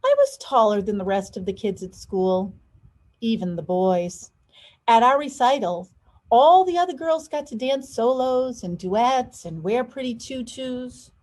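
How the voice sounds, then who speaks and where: A woman, a school superintendent, reading a children's picture book aloud with expressive, stressed storytelling phrases. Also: on the high side, a little slow.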